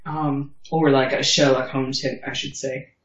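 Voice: mid-range voice, level tone, a little slow. A co-host in a casual chat reviewing a TV episode, opinionated and wry, swearing freely. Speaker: podcast co-host. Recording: roomy, distant, dull sound.

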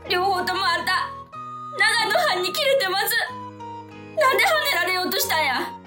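A very high, piercing voice over clear background music: a fiery female taxi driver in a comic radio drama. She speaks broad Kyoto dialect, emphatic and scolding, with a big-hearted determination. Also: animated, normal speed. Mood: angry scolding.

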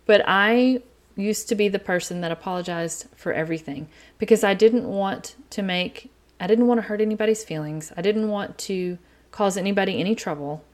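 A middle-aged woman with an East Texas accent, hosting her podcast from a quiet home in an earnest, chatty way. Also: medium pitch. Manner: chatty, earnest, talks faster as she warms up. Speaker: podcast host. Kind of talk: casual solo podcast talk.

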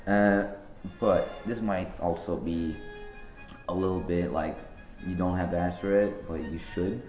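A teenage boy from Norway hosting a joking school podcast, talking in deadpan, slangy banter. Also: deep voice, unhurried, roomy sound, lo-fi sound, soft background music. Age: teens. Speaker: podcast host. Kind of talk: joking student podcast interview.

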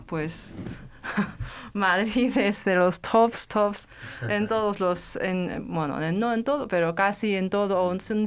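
Hesitant woman guest with a smooth voice. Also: mid-range voice. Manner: hesitant, many restarts and fillers. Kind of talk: interview answer, personal story.

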